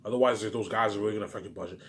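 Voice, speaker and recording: A man rambling in a casual monologue, repeating himself, jumping between loud bursts and mumbled trailing endings. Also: on the low side, very fast. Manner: repetitive, keeps saying "man" and "obviously". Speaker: vlogger.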